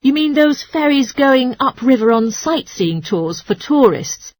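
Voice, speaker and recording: A woman's voice: sits high, animated, measured pace, darkish tone, no echo. A woman playing a polite, helpful transport information clerk who explains things in a scripted exercise dialogue. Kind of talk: scripted listening-test dialogue.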